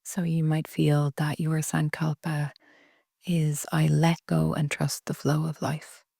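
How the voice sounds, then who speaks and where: A woman leading a guided relaxation meditation in a soft, breathy, soothing way with long pauses. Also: deep voice, level tone, no echo, clean studio sound. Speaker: yoga nidra meditation guide.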